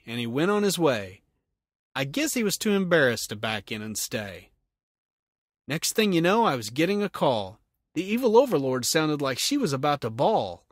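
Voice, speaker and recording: A man's voice: a little high, no room sound, studio quality. A man hosting a casual solo podcast in a jokey, self-deprecating manner. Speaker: podcast host.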